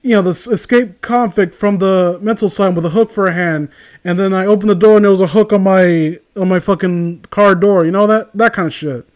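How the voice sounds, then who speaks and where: High-voiced sarcastic man riffing casually. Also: steady tone, fairly quick. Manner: sarcastic, profane. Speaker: co-host reading and mocking fan fiction. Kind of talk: casual comedic riffing and read-aloud.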